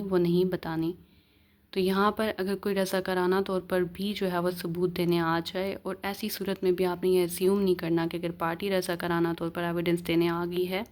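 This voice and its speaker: A woman's voice: on the low side, darkish tone. A woman with a Pakistani accent giving an explanatory law lecture, mixing in English phrases and often checking that listeners follow. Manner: mixes English legal phrases into speech, frequent check-ins. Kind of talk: explanatory lecture, reading legal text aloud and explaining it.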